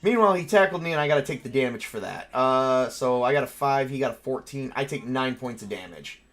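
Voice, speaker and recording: A man casually narrating a tabletop role-playing game as game master, excitable and quick to swear. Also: mid-range voice.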